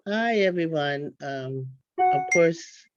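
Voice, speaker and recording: A woman's voice: deep voice, expressive, slow pace, faint music behind. An older woman casually telling her recollections as a participant in a panel discussion, heard over a call. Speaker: workshop participant and writer. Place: remote call-in to online event. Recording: muffled, band-limited call audio.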